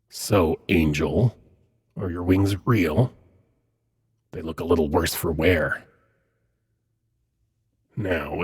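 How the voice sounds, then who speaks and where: Man voicing a deep, husky, haughty demon prince. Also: exaggerated voice. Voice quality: husky, breathy. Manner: measured, courtly, condescending. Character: suave, haughty male demon prince, patient and seductive. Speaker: voice actor in an audio roleplay. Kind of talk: dramatic ASMR roleplay monologue.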